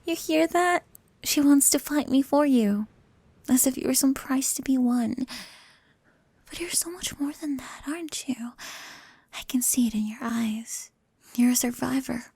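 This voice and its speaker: A woman puts on a dramatic, possessive female pirate captain for an ASMR roleplay. Her fairly high voice is husky and crackles with fry. She is sultry and taunting, often dropping into whispers. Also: measured pace, a little mellow, dry recording, full character voice. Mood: intense, knowing.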